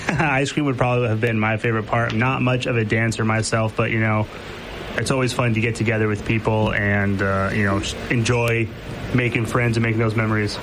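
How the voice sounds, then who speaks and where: A man's voice: fairly low pitch, little pitch movement, a little fast, noisy background. A man hosting a casual radio interview with students, easygoing and chatty with lots of fillers. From Mexico. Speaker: radio host.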